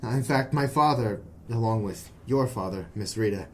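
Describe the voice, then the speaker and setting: An actor playing an earnest, nervous young man, hesitant and excitable, in a noir audio drama. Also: fairly low pitch, moderate pace, a little hiss or hum, lightly acted. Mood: earnest, agitated. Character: earnest, nervous young male defector from secret brotherhood.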